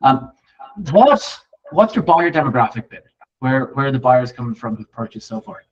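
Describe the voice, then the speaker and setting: A man's voice: mid-range voice, very animated, moderate pace, vocal fry. A man hosting a conversational real estate interview, speaking in a rambling, agreeable way. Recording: distant, dull lo-fi microphone.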